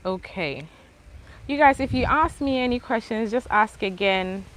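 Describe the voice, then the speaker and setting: A woman's voice: fairly high pitch, low-quality recording. A young woman with a mixed Ghanaian, English and Australian accent, chatting casually outdoors as she answers viewers' questions live. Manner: chatty, relaxed, thanks viewers, spells things out slowly. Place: outdoors under a palm tree.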